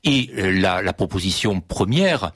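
Husky low-voiced man, measured talk. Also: animated, fairly bright tone, dry recording, studio quality. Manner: measured, self-correcting, hesitant starts. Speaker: guest. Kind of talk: radio panel discussion.

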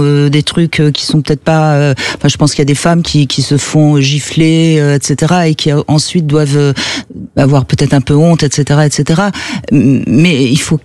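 A woman's voice: low voice, fairly even intonation, normal speed, darkish tone, no echo. Female radio guest, assured and explanatory, dry close studio sound. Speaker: radio show guest and host. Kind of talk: radio talk show.

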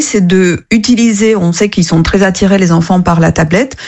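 A woman's voice: mid-range voice, a little mellow. Hesitant woman, French not her native tongue. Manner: earnest, hesitant, many restarts. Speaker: app co-founder, radio guest. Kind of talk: radio interview answers.

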